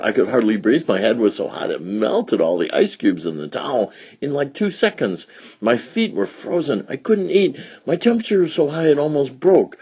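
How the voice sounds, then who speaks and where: A man's voice: mid-range voice, darkish tone, slightly rough, pitched above the performer's own voice. A man voicing a child who boasts about having been sick, in an eager, exaggerating storybook voice. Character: boastful sick child telling a tall tale.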